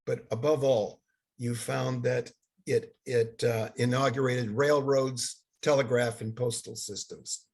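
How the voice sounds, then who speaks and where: An older man who grew up in Bangladesh, giving a halting seminar lecture over slides, with many fillers and restarts. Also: mid-range voice, fairly even intonation, creaky, darkish tone, breathy edge.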